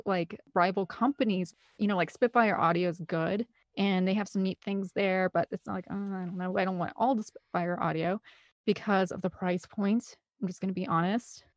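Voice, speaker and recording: A woman's voice: mid-range voice, darkish tone, dry recording, clean studio sound. A woman talking casually and at length as an interview guest, with a gushing, rambling enthusiasm. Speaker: interview guest, film composer and director.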